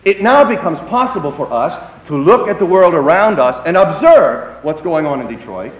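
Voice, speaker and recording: Male preacher, high and very animated voice, emphatic with sudden hushed drops, echoey hall. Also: normal speed. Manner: emphatic, dramatic swings between loud and hushed delivery. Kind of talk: sermon. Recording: echoey hall, tinny phone-like sound.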